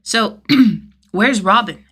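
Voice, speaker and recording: A worn-out outlaw man voiced by a woman narrator talks bluntly. He is battered and gruff, yet protective, in an audiobook tale. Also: medium pitch, extremely slow, bright, forceful tone, soft background music, pitched above the performer's own voice, full character voice. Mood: tired, casual. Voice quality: rasping. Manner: weary, blunt. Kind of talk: acted audiobook dialogue.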